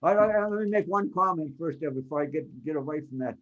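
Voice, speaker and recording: A man's voice: fairly high pitch, expressive, fast talker, dull audio. A man hosting a casual panel discussion over a video call, rambling a little as he backtracks and repeats himself. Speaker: panel moderator.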